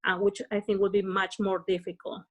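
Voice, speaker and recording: A woman from Peru speaking on a panel in a measured, thoughtful way. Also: medium pitch, flat delivery. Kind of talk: casual discussion.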